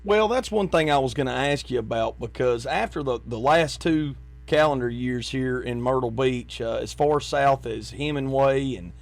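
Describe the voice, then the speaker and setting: A man's voice: mid-range voice, normal speed, vocal fry. A man with an American accent, conducting a conversational interview as host.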